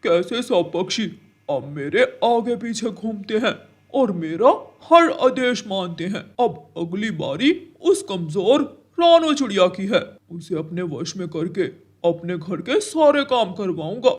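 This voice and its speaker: A man's voice: sits high, big pitch swings, a little slow, full character voice. A man voicing a scheming male crow in a children's cartoon, with an emphatic, theatrical delivery. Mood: gloating. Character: wicked, scheming male crow, bossy and greedy. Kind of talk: Hindi children's cartoon dialogue.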